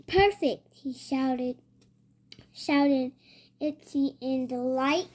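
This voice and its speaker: A child with a high voice reads a storybook aloud very slowly. The delivery is halting and hesitant, with words sounded out and repeated. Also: a little mellow. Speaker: young reader.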